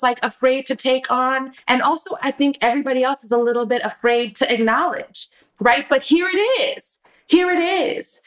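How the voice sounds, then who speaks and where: A woman speaking as a panelist in a thoughtful discussion, emphatic and rhetorical, often checking in with the listener. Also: medium pitch. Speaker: dramaturg and panelist.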